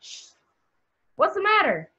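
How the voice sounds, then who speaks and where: A woman's voice: extremely high pitch, huge pitch swings, a little slow, bright, forceful tone, exaggerated voice. A woman playing a sweet, anxious, hopeful young wife in a play reading, on a dull online recording. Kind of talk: play table read. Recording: dull lo-fi online call sound.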